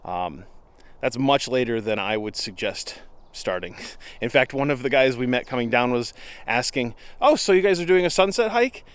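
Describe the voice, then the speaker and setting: A man telling an unscripted story in a casual, conversational way, with frequent pauses and slangy asides. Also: mid-range voice, lively intonation. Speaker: outdoor vlogger telling a story. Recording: clear ambient background noise.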